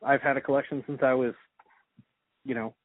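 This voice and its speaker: Male interviewer, mid-pitched, enthusiastic and conversational, dry telephone-quality sound. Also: level tone, brisk, no echo. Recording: dry, narrow phone-like sound.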